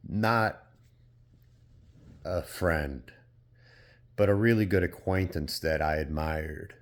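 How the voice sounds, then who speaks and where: A man from Portugal talking in a casual, reflective vlog monologue, rambling and hesitant, with many fillers and casual swearing. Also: deep voice. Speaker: vlogger.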